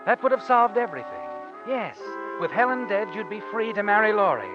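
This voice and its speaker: An actor playing an ominous, knowing storyteller, narrating in the second person in 1940s radio suspense style. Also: male voice, sits high, expressive, brisk. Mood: ominous, intense. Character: ominous, knowing storyteller addressing the guilty man. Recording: muffled old radio recording. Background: dramatic music.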